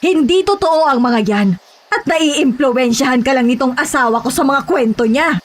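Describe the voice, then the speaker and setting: A woman's voice: sits high, moderate pace. A woman playing a devout, disapproving wife and mother, scolding and insistent, in a horror audio drama. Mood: reproachful.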